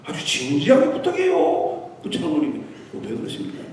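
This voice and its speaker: A man's voice: fairly high pitch, huge pitch swings, normal speed, a little mellow, slight background noise. A middle-aged man, a visiting pastor, telling anecdotes in a sermon and mixing in English terms. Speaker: guest revival pastor. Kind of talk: storytelling sermon. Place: church sanctuary. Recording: echoey church hall from far off.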